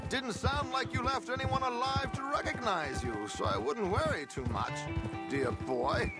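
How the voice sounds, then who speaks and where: An actor playing a flamboyant, smooth-talking con artist in an Old West game, with a pompous, teasing manner and florid wording. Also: male voice, extremely high pitch, animated, measured pace, loud music behind the voice, full character voice. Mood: wry, breezy. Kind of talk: game cutscene dialogue.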